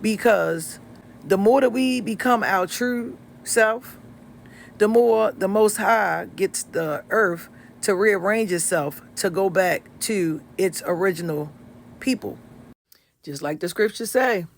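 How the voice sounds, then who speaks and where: A man giving casual vlog commentary in a preachy way, quoting scripture with drawn-out asides. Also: high voice, vocal fry, slight background noise. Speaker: vlogger.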